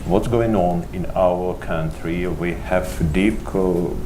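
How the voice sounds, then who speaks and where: Very slow, deep-voiced halting man. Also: steady tone. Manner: halting, many pauses and fillers. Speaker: church leader, panelist. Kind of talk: panel remarks. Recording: noticeable background noise.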